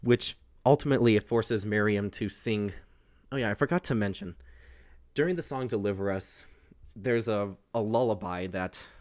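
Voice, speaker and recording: A young man with a baritone voice casually recapping a film, rambling and correcting himself as he goes. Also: a little mellow. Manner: rambling, self-correcting, with restarts. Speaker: host.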